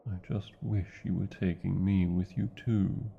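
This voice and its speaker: A man's voice: low voice, fairly even intonation, unhurried, vocal fry, mellow, husky, dull audio, full character voice. A man with a British accent voicing a large, devoted, proud male dragon in a fantasy ASMR romance, speaking tenderly with theatrical, formal wording. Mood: wistful.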